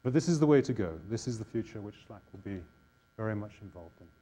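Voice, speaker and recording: A man's voice: lowish voice, animated, fast talker, warm tone. A man with a Scottish accent giving an informal public lecture. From Scotland.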